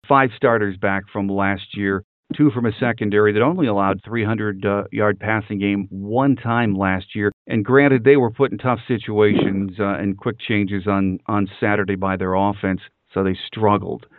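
A man hosts a football interview in a fairly deep voice. He chats through his observations and then puts questions to his guest. The sound is thin and dry, like a telephone. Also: fairly even intonation, normal speed, hardly any pauses, no room sound. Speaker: podcast host, interviewer. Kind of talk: conversational sports interview. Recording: narrow phone-like sound.

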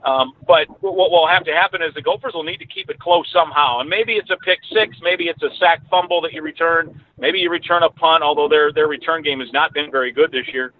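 A man's voice: a little high, a little fast, few pauses. A man, a radio sports announcer, giving conversational analysis as a guest over a remote call. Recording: dull lo-fi remote line, background noise.

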